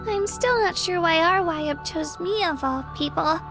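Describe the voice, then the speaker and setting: A woman's voice: very high voice, slow, music in the background, exaggerated voice. A woman voicing an eager, modest young girl, an apprentice warrior in a video game, earnest and girlish.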